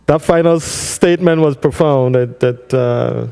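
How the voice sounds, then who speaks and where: Smooth, mellow male committee chair. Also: mid-range voice, a little slow. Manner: formal, recognizes speakers. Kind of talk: chairing a hearing.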